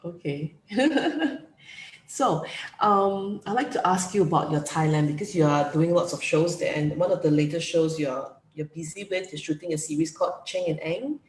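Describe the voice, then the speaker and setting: A woman's voice: low-pitched, normal speed, a little mellow, roomy sound, slight background noise. A woman hosting an interview, asking encouraging, leading questions in a casual manner. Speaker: interview host.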